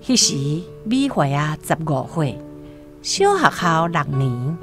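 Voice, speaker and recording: A woman's voice: sits low, big pitch swings, slow pace. A woman with a Taiwanese accent narrating a scripted literary radio drama as a storyteller. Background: plucked guitar music.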